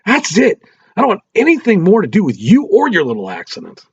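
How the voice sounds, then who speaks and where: A man's voice: high-pitched, wildly swooping pitch, fairly quick, gravelly, breathy edge, dull audio, voice pushed up, full character voice. A man doing a harsh, raised-voice suspicious husband. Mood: enraged, accusing. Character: angry, suspicious, cold husband and reluctant father.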